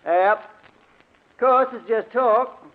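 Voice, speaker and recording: Folksy rural sheriff, tinny old radio. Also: male voice, extremely high pitch, slow. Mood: grave, gossiping. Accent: rural American. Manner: folksy, drawling, plain-spoken. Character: folksy small-town sheriff passing on local gossip. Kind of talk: 1950s radio drama acting. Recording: tinny, band-limited old radio recording.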